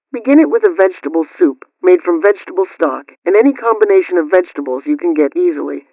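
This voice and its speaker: Guy with a pretty high voice doing formal radio homemaking script, measured, dry and kinda muffled. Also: no room sound. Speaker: radio host reading a homemaking program. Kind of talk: scripted instructional narration. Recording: dull, band-limited radio-style audio.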